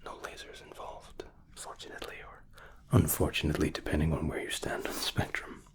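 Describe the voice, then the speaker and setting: A man softly explaining spa treatments in an ASMR roleplay, whispery and breathy, with a gentle, reassuring, attentive manner. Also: rumbling low, wildly swooping pitch, a little fast. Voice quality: whispery, breathy, soft-spoken.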